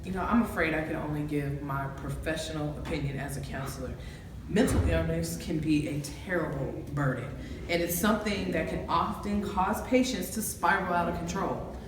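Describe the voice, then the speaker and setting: A woman's voice: low voice, moderate pace, close to an everyday voice. A woman acting an earnest, principled college counselor in an amateur courtroom drama. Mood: measured, grave. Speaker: actor in an amateur student film. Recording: echoey, distant, noisy room.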